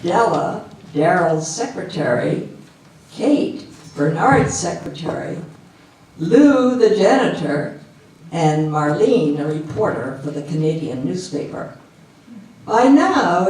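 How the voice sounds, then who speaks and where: A woman's voice: medium pitch, darkish tone. A woman giving scripted narration for a readers theater stage show. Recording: echoey hall, distant mic, faint noise.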